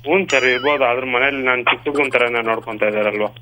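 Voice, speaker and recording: A man's voice: medium pitch, fairly even intonation, racing. A man of twenty-two in a casual phone conversation, polite but curt and ready to argue. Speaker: prank call victim, shop worker. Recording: noisy phone call.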